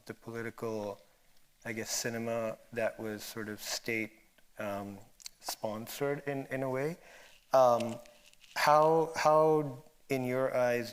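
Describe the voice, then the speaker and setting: A man moderating an academic film discussion, phrasing his thoughts haltingly with many pauses. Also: medium pitch. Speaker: moderator, filmmaker.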